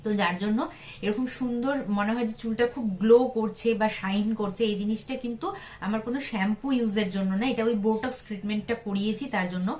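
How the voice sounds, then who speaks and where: A woman's voice: mid-range voice, fairly even intonation, fast, darkish tone. A young woman with a West Bengali accent narrates a casual daily vlog, talking to viewers in a chatty, familiar way and mixing in English words. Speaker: vlogger.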